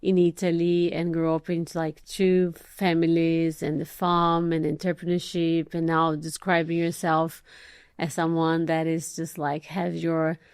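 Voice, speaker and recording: A woman hosts a podcast and interviews her guest with effusive enthusiasm. Her voice is smooth and resonant, set a little low. It is recorded dry and close. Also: monotone, measured pace, darkish tone, dry recording. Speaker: podcast host. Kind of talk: interview conversation.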